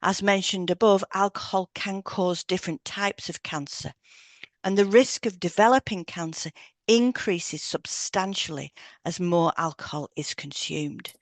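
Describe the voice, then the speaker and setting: Lowish-voiced woman reading an educational podcast. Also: a little slow, no room sound. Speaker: podcast co-host, hypnotherapist. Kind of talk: scripted podcast narration, read aloud.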